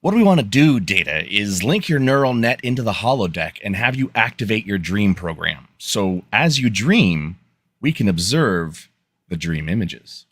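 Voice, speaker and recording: Bright, animated starship engineer played by a man. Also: on the low side, moderate pace, husky, dry recording, exaggerated voice. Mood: earnest, businesslike. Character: earnest, practical male starship chief engineer. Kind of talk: podcast live script reading.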